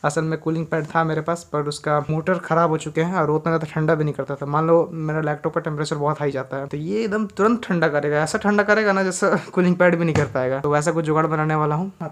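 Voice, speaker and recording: A man in his twenties in casual vlog talk to the camera, chatty and joking as he explains what he is doing, recorded on a phone held at arm's length in a small bedroom. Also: on the high side. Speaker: vlogger. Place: small bedroom at home, indoors.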